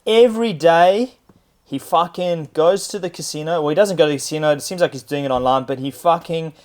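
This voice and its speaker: Swearing, excitable man. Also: a little high, a little fast. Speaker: podcast co-host. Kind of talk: casual podcast banter.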